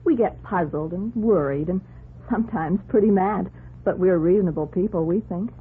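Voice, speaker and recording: A woman's voice: lowish voice, moderate pace, lightly acted. An actress in a 1940s radio drama playing a contented, conciliatory working man's wife, earnest and placating. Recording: narrow, noisy 1940s radio recording.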